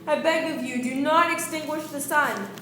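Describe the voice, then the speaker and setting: A woman's voice: high voice, moderate pace, fairly bright tone. A young woman playing an anxious yet imperious king in an amateur radio play, with formal, declamatory delivery. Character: anxious, imperious King Arthur of England, pleading yet regal. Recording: echoey room with background noise.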